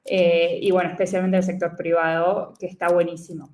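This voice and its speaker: Argentine woman talking in a flat tone. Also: fairly low pitch, a little fast, echoey room. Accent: Argentine Spanish. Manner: relaxed, with frequent fillers. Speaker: guest, venture capital investor. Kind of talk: casual interview talk.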